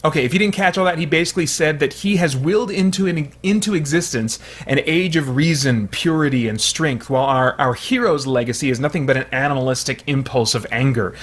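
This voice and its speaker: A man's voice: fairly high pitch, fairly quick, hardly any pauses, a bit bright, soft background music. A man hosting a video essay, explaining in an informal, analytical way with frequent hedging.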